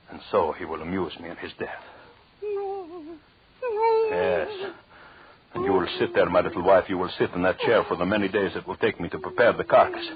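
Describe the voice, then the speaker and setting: An actor playing a jealous, murderous husband in an old radio drama, with a theatrical, mocking menace and formal phrasing. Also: male voice, sits low. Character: vengeful, jealous husband, cruelly gloating murderous anthropologist. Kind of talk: 1940s radio drama acting. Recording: dull, lo-fi old radio recording.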